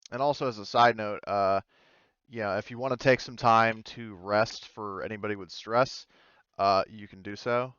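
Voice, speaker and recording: A man acting as game master for a tabletop game, narrating off the cuff in a halting, casual way, often searching for words. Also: on the low side, fairly even intonation, a bit bright, smooth, no room sound, lo-fi sound.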